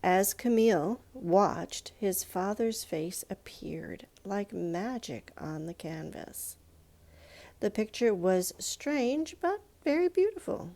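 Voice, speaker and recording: A woman reading a children's picture book aloud in a measured, expressive storytelling manner, with pauses. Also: mid-range voice. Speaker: storybook reader.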